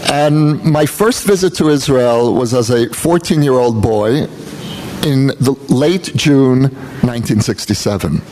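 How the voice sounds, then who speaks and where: American guy giving a talk at an event, calm measured storytelling, bit of background noise. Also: mid-range voice, low-quality recording. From United States. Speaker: guest speaker. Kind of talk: public talk. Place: event hall.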